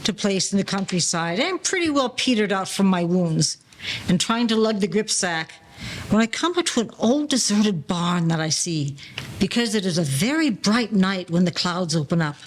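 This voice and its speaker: A woman playing an aging male gangster safecracker in an audio play, telling stories in slangy, mock-formal 1940s style with quiet menace. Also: medium pitch, expressive, normal speed, lightly acted. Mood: wily storytelling.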